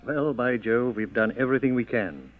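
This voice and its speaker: A man's voice: medium pitch, normal speed, natural voice. Male, man acting: dignified colonial governor, formal, resolute, old radio drama, thin tinny sound. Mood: formal, concerned. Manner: formal, courteous. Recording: tinny old narrow-band radio recording.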